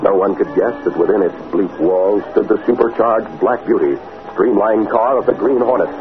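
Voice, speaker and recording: A man gives solemn scripted narration for an old radio serial. His voice is very deep, on a tinny broadcast recording with a narrow band. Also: normal speed, lightly acted. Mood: suspenseful.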